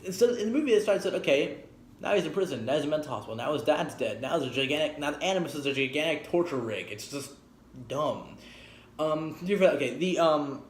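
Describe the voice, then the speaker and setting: A man's voice: a little high, expressive, fast. A man giving a casual, opinionated spoken review, rambling and emphatic, often correcting himself as he goes. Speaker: video game movie reviewer. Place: echoey spot up by a street. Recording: echoey, noisy, near street traffic. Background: traffic and engine noise.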